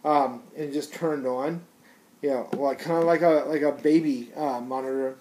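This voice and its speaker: A man's voice: mid-range voice, fairly even intonation, normal speed, darkish tone, rough recording. A man giving a casual, unscripted product review in a rambling, conversational, slangy way.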